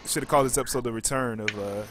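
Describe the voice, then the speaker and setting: A man hosting a podcast casually, reading out announcements and often pausing mid-sentence. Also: mid-range voice, crowd noise. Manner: halting, frequent pauses mid-sentence. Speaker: podcast host.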